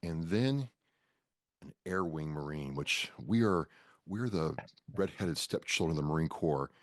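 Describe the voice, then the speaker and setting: A man's voice: extremely low pitch, animated, unhurried, no room sound, clean studio sound. An American man hosting a podcast interview, talking in an enthusiastic, informal way and readily jumping in. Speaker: podcast host.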